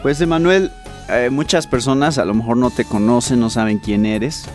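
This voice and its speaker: A man's voice: medium pitch, normal speed, darkish tone, soft background music. A man hosting a conversational radio interview, welcoming and questioning in a talk-show style.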